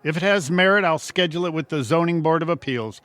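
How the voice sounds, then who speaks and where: A man's voice: fairly high pitch, quick pace, fairly bright tone, natural voice. A man playing a brash, bribable city hall clerk in a comedic audio drama. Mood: brash, leering.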